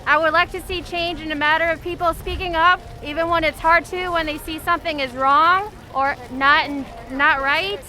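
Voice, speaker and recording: A woman in her thirties from Mexico speaks in a very high voice. She is sincere and steady in a street interview. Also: normal speed, few pauses. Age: 30s. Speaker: protester, patient care tech.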